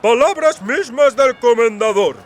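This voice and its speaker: Fat pompous townsman in an audiobook, really high voice, self-important and huffy, slight background noise. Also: lively intonation, a little slow. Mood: indignant. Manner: emphatic, self-important delivery. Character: pompous, indignant fat male burgher. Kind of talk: audiobook character acting.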